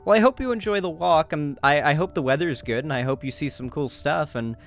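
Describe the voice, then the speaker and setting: A man hosting a live stream, chatting casually and informally with his viewers in an animated way. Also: fairly high pitch, fairly quick, soft background music. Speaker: livestream host.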